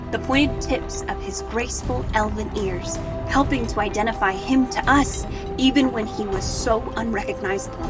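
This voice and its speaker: A woman's voice: mid-range voice, close to an everyday voice. A woman playing an earnest young army sergeant, giving dramatic first-person narration of a battle in an audio drama. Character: earnest young human female artillery sergeant recalling battle.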